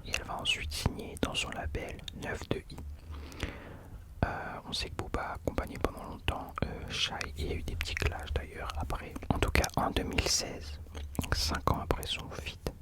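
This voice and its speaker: A man speaking in an unvoiced whisper, soft and informal, chatting as the host of an ASMR video into a close microphone. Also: normal speed. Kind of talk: whispered ASMR talk about rap.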